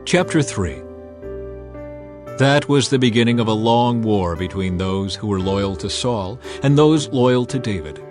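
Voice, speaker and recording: A male narrator tells a scripture story over a music bed. His delivery is scripted, solemn and measured, in the manner of a dramatized audio Bible. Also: on the low side, expressive.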